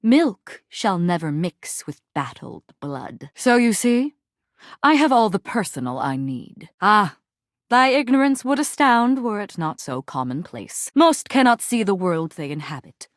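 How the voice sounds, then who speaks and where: A woman's voice: mid-range voice, big pitch swings, unhurried, no room sound, clean studio sound. A woman voicing a proud, melodramatic warrior general in a video game, speaking in formal, theatrical, Shakespearean old English. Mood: solemn, aloof. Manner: grandiose archaic Shakespearean speech, formal and theatrical.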